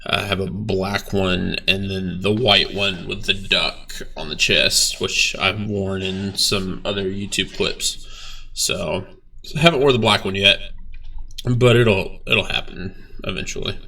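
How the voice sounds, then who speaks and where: A young man, a bassist, talking casually about his band's video in a laid-back, rambling way with lots of fillers and some swearing. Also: measured pace, creaky, pushed and bright, distant mic. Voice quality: low voice. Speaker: bass player reacting to his band's video. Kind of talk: casual reaction vlog.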